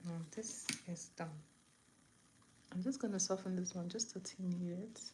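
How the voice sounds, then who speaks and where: A woman's voice: fairly low pitch, steady tone, moderate pace. A woman from eastern Nigeria, chatting casually to viewers and giving step-by-step instructions as she hosts a makeup tutorial. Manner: chatty, instructive, talking to viewers while working. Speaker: makeup tutorial host on a livestream. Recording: clear background noise, room ambience.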